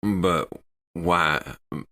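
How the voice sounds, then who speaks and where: A man playing a suspicious, gruff spaceship crewman in improvised sci-fi role-play, halting and stammering as he talks. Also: sits low, bright, forceful tone, exaggerated voice.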